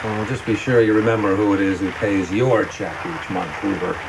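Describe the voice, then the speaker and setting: An actor playing a patronizing, wary senior government boss in a radio drama, with a measured, condescending manner. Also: male voice, on the low side, fairly even intonation. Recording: clearly noisy ambience.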